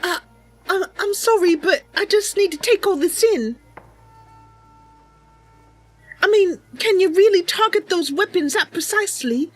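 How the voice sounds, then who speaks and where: Woman voicing a high, earnest young wood elf. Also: lively intonation, normal speed, no room sound, soft background music, low-quality recording. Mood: hesitant. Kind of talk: improvised in-character game roleplay.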